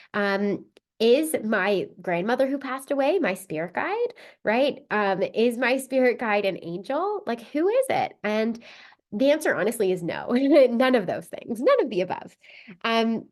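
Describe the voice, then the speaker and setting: A woman with a cold, sounding a little stuffed up, talking casually as a podcast host, with many fillers and tag questions. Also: mid-range voice. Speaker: podcast host and spiritual reader. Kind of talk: casual solo podcast talk.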